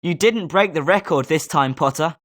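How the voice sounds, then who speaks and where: A woman's voice: low voice, moderate pace, natural voice. A woman with a British accent voicing a confident, sporting schoolboy wizard with a competitive streak in a video game. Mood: consoling. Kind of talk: scripted video game character lines.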